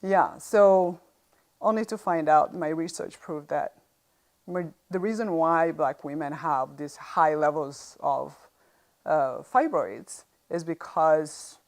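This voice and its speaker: Low, gravelly East African woman. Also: slow, a little mellow. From Uganda. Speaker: interview guest sharing a health experience. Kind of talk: conversational personal testimony.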